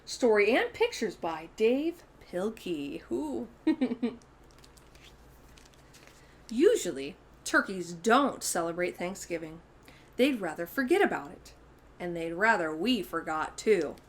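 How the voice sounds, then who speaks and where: A woman's voice: medium pitch, very animated, slow. A woman hosting a storytime for young children, reading aloud expressively and speaking directly to her listeners. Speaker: children's storytime host and reader.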